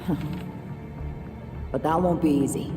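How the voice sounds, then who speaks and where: A woman's voice: low-pitched, unhurried, dark tone, recorded from a distance, soft background music. An American woman playing a wry, no-nonsense police detective in a video game cutscene, dry and blunt. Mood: dry, businesslike. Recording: echoey hall with score behind.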